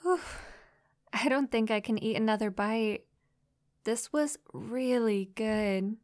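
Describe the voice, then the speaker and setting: A woman playing a friendly, curious young succubus in an intimate roleplay audio drama, with a soft, playful, inquisitive manner. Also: fairly high pitch, slow pace. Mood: gentle, grateful. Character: friendly, curious young female succubus new to human life.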